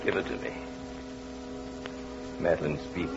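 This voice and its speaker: An actor in a vintage radio melodrama playing a domineering, half-mad master who gives urgent, imperious orders. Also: male voice, rumbling low, steady tone, unhurried, creaky, soft background music, heavily acted. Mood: stricken. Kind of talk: 1940s radio melodrama acting. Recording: muffled, noisy old radio recording.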